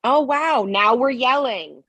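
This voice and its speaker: A woman playing a prying mother with a husky voice in a comedy script table read. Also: high-pitched, animated, takes it slowly, a bit bright, no room sound, exaggerated voice. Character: prying mother wanting grandkids.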